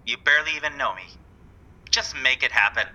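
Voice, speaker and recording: An actor playing a smarmy, exasperated musical writer in an audio comedy, curt and melodramatic. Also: male voice, medium pitch, fairly quick, dull audio, background noise, lightly acted. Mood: resigned, cold. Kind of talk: audio comedy drama acting.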